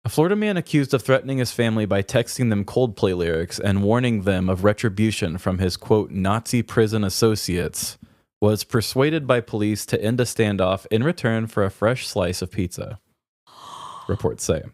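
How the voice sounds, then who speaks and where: A man's voice: on the low side, normal speed, darkish tone, dry recording, clean studio sound. A man co-hosting a comedy podcast, chatting casually with a wry, joking manner. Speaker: podcast co-host.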